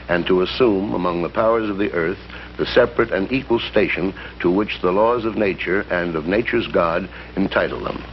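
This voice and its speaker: A man with a lowish voice recites in steady, measured tones and then turns drily humorous. The sound is dull and muffled like an old film soundtrack, with a faint hiss. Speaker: friend reciting a text from memory. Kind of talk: scripted 1950s film dialogue.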